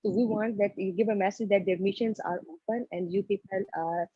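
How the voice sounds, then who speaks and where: A woman's voice: mid-range voice, fairly even intonation, a little fast, slightly rough, no echo. A woman hosting a live admissions session who prompts her guest with short interjections over a video call. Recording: dull dry online call audio.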